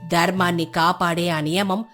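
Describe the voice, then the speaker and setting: A woman acting a serene, wise sage in a folk tale, giving counsel in a measured, authoritative way. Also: on the low side, fairly even intonation, a bit bright, faint music behind, lightly acted. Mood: calm, grave.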